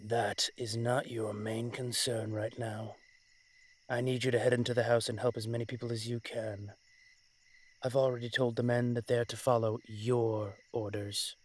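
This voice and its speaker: A man's voice: medium pitch, level tone, moderate pace, close to an everyday voice. Man acting a weary, commanding mafia boss. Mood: grave, commanding. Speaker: voice actor in a roleplay audio. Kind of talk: dramatic roleplay monologue.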